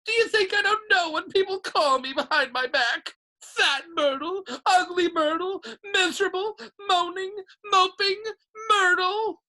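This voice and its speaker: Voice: very high voice, a little slow, sharp tone, pitched above the performer's own voice, full character voice, low-quality recording. A storybook narrator voicing the ghost of a glum, sulky girl with a wounded, self-pitying wail. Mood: anguished wailing.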